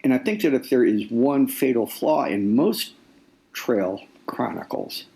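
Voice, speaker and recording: A man speaking as an author guest in a conversational interview, explaining deliberately and repeating phrases for weight. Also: medium pitch, lively intonation, vocal fry, darkish tone. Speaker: nonfiction author, podcast guest.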